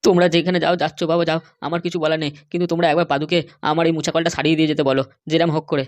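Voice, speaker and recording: An anxious middle-aged male politician from a comedy cartoon, acted out by a performer, rattles along very quickly in a dry, close sound. He wheedles and complains as he pleads. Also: medium pitch, little pitch movement, dry recording, natural voice. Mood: helpless pleading.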